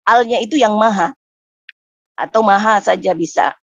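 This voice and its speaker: A woman's voice: medium pitch, normal speed, vocal fry, no room sound. Woman explaining on a muffled call. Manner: explains steadily, answers back when interrupted. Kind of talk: casual online debate. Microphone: video call. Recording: dull lo-fi call audio.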